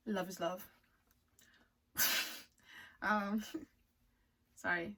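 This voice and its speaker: A woman's voice: mid-range voice, fairly bright tone, slightly rough. A woman from Liberia with a Liberian accent, whose first language is not English, chatting casually with viewers and reading her own story aloud. She uses playful slang and giggles often.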